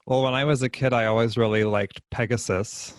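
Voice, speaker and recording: A man's voice: mid-range voice, steady tone, brisk, dry recording. A middle-aged man hosting a podcast, chatting casually in an interview. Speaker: podcast host.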